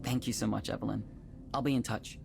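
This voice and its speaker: An actor playing a polite, composed male tech company manager in a visual novel, speaking in a measured, businesslike way. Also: fairly low pitch, steady tone, gravelly, darkish tone, soft background music. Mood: polite, friendly.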